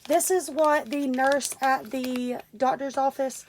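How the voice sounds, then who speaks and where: A woman's voice: sits high, steady tone, slow pace, slight background noise. A young woman, congested and stuffy, casually talking through an unboxing with lots of fillers and restarts. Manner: rambling, many fillers and restarts. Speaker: vlogger.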